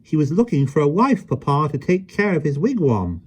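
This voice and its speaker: A man reading a storybook aloud, voicing three little boys who chant in squeaky, eager voices. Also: sing-song, normal speed, husky.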